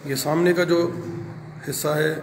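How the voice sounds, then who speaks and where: A man narrating a visit to a religious site on location, speaking formally and reverently in deliberate short phrases. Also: a little high, little pitch movement, a crowd in the background. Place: at and inside a cave shrine. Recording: echoey cave with clear ambient noise.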